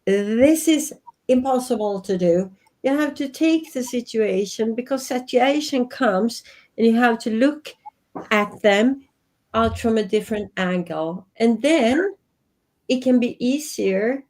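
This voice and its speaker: A woman's voice: fairly high pitch, lively intonation, takes it slowly, darkish tone. Swedish lady in her 50s, takes her time, earnest, on a video call from home. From Sweden. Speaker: guest. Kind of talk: reflective interview talk. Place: home office.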